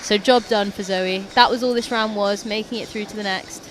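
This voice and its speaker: A woman's voice: medium pitch, steady tone, a little fast, background noise. A woman co-commentating live on a climbing competition, upbeat and analytical, with encouraging words about the athletes' technique.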